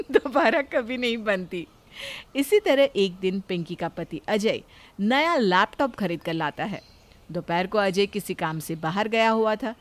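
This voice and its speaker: High-pitched woman narrating a story.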